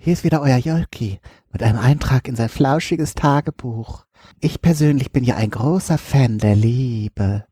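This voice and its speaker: A man playing a gentle, whimsical alien who narrates a diary in a playful, mock-scientific way. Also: mid-range voice, moderate pace, breathy edge, no room sound, natural voice. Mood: playful, fond. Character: Jolki, gentle whimsical alien diarist fond of love. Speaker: host.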